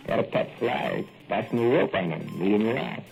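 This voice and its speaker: An actor playing a young, dreamy plumber's helper with a gravelly voice, drawling in 1940s radio drama style. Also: male voice, fairly low pitch, very animated, fairly quick, darkish tone, exaggerated voice. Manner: drawling, daydreaming small-town talk. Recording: tinny old radio broadcast with faint music.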